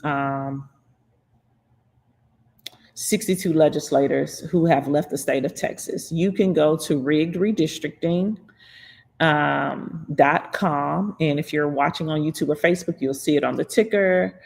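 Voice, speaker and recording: Creaky-voiced livestream host from Florida. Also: mid-range voice, a little mellow. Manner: reads chat comments aloud and replies, laughs often, slows down to stress points. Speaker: livestream host answering viewer questions. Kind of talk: casual political livestream chat.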